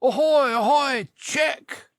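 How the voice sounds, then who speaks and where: Pushy knight from a fairytale, high pitched, loud, declaiming everything. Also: male voice, slow pace, dry recording, clean studio sound. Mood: shouting challenge. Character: blustering, combative male Red Knight in a storybook.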